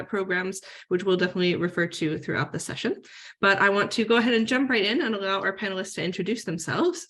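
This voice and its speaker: A woman's voice: mid-range voice, fairly bright tone. Friendly woman moderating a webinar. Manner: friendly, organized, uses fillers.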